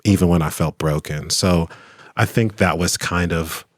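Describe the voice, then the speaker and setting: Homme posé à la voix grave et sèche. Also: male voice, deep voice, normal speed, no echo, studio quality. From Kentucky, US. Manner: reflective, candid, laughs often, many fillers and restarts. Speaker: author and attorney, interview guest. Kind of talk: casual interview conversation.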